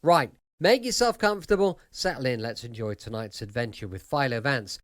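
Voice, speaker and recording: A man's voice: medium pitch, animated, moderate pace, no echo, clean studio sound. A man hosting a nighttime podcast, giving a soothing scripted introduction to an evening show. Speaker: podcast host.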